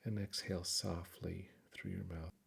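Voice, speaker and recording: Deep, gravelly man guiding a meditation. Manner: calm, soothing, measured with frequent pauses. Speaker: guided meditation narrator. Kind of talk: guided meditation, gentle scripted narration.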